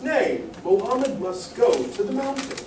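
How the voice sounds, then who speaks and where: A man's voice: very high-pitched, a little slow, a little mellow. A man playing a pompous, gluttonous cartoon moocher who declaims in flowery, grandiloquent fashion for an old-style radio comedy. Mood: lofty. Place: playhouse stage before a live audience. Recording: echoey hall from far off, faint noise.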